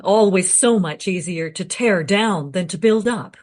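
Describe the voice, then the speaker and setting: A woman's voice: medium pitch, a little slow, darkish tone, natural voice. A woman playing a courteous modern interviewer in a radio play, asking tactful but probing questions.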